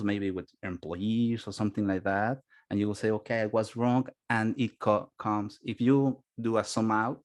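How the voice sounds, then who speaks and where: A man with a fairly low voice and a Spanish accent presents a webinar as a business consultant. Spanish is his native language, and his English is halting as he searches for words. The delivery is that of an informal lecture.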